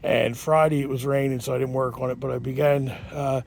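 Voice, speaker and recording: Everyday man, mid pitch, sniffly cold, rambling DIY vlog voice, unscripted. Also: flat delivery, fairly quick, vocal fry, a little mellow, slight background noise. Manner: rambling, unpolished, with many fillers and restarts. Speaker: home mechanic. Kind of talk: casual garage how-to. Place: outdoors, cold.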